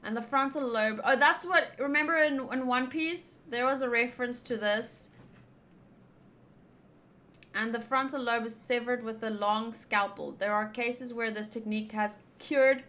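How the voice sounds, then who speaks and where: A woman's voice: fairly high pitch, steady tone, a little slow, bright, forceful tone. A woman giving casual visual novel playthrough commentary, chatty and thinking aloud as she reads the text. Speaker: game streamer.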